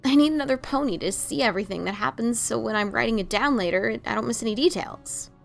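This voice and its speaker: A woman's voice: on the high side, fast, gravelly, soft background music. A woman playing a brash but self-doubting pegasus pony adventurer, with hesitant, stammering, casual delivery in an audio drama. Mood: sheepish. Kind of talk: audio drama dialogue of fan fiction.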